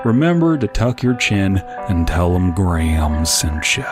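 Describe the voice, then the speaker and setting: Low-voiced deadpan man narrating over music. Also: unhurried. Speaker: podcast narrator. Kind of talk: scripted comic narration.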